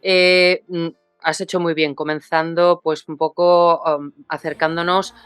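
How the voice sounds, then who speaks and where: A woman's voice: lowish voice, little pitch movement, a little slow, fairly bright tone, clear, resonant tone, no echo, faint music behind. A woman hosting an interview, friendly and rambling in her questions. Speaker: host.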